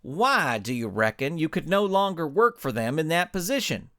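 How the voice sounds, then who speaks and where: A man voicing a forceful, indignant cowboy superhero with a folksy drawl in a story. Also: a little high, big pitch swings, normal speed. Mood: folksy, firm. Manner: forceful, shouting. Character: blustering indignant cowboy superhero, the league's leader.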